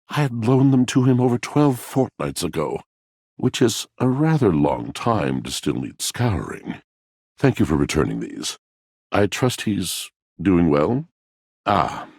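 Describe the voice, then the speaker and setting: An actor playing a brooding, melancholy washing machine in a video game, a wounded poet with a gravelly rumble and florid, pompous, theatrical delivery. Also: male voice, no room sound, clean studio sound, exaggerated voice. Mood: haughty, wry. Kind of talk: video game character voice acting.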